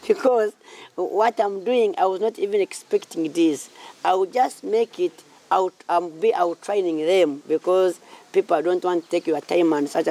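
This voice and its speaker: Creaky, mellow Ugandan man telling his story. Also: fairly high pitch. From southwestern Uganda. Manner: halting, repetitive phrasing. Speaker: community project founder and church minister. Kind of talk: informal interview answers about own life.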